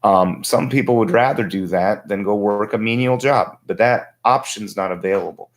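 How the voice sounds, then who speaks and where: A man in his forties with a tiring voice, talking through political commentary in a halting, reflective way as a livestream host. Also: lowish voice. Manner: halting, reflective, thinking aloud. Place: small home workshop, indoors.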